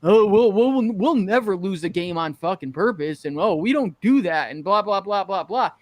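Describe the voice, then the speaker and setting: Man, high voice, podcast co-host, blunt, ranting, profane, casual banter. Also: animated, normal speed, no echo. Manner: blunt, ranting, profane, acts out imagined quotes.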